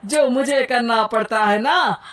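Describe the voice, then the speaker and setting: A woman's voice: on the high side, unhurried, a bit bright. A woman voicing a stubborn, proud middle-aged mother-in-law who scolds in a bossy way. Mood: scolding.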